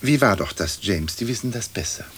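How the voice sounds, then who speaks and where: Formal dead English lord, noisy recording. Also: male voice, on the low side, animated, a bit bright. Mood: dry, stately. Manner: formal, measured, old-fashioned phrasing. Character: aristocratic dead English lord, dignified, dry, long-winded. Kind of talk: radio play acting. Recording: noisy old radio play recording.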